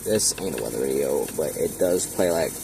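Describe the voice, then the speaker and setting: A man's voice: a bass voice, expressive, moderate pace, vocal fry, background noise. A young man casually showing his radio collection, rambling offhand with fillers and self-corrections, filming as he goes. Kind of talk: casual off-the-cuff show-and-tell. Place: small cluttered room full of shelves. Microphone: handheld camera, filming point of view.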